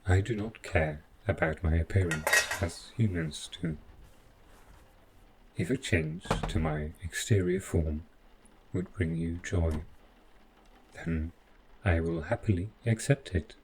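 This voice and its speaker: Man voicing a slow, deep, formal robot butler. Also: noisy background. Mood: calm, formal. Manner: formal, measured, deliberate robotic phrasing. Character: overprotective male robot butler, evasive and stiffly polite. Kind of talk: scripted audio roleplay addressed to the listener.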